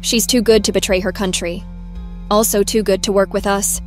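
A woman playing a formal, commanding intelligence agency chief in an audio drama, speaking in a measured way. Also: mid-range voice, steady tone, darkish tone, soft background music, natural voice. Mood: businesslike. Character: authoritative female intelligence agency chief, calm and persuasive. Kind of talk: scripted audio drama acting.

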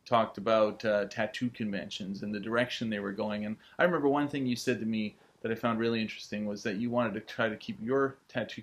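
Man conducting a casual interview. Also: on the low side. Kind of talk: conversational interview. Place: small indoor tattoo studio room.

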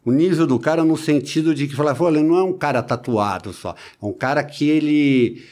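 A man in his forties with a Brazilian Portuguese accent, talking casually as a podcast guest into a close studio mic, haltingly, repeating words and adding little tags. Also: on the high side, a little mellow. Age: 40s. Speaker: podcast guest, body-modification enthusiast. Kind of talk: casual conversational storytelling. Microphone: close studio microphone on boom arm.

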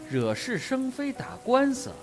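An actor playing a flippant army captain with sardonic humour in a radio drama. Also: male voice, extremely high pitch, wildly swooping pitch, a little slow, soft background music, exaggerated voice.

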